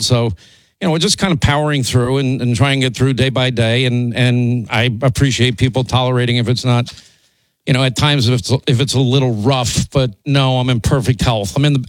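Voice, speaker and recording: A middle-aged man hosting a talk radio show, hoarse from laryngitis, rambling and repeating himself as he pushes through. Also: medium pitch, no room sound, poor audio. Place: radio studio.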